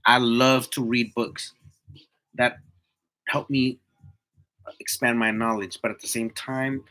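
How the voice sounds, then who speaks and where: A man's voice: mid-range voice, little pitch movement, slow pace, bright, forceful tone. A man in his twenties chatting casually to live viewers in a slangy, motivational way, repeating himself for emphasis. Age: 20s. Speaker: livestream host, tree climber.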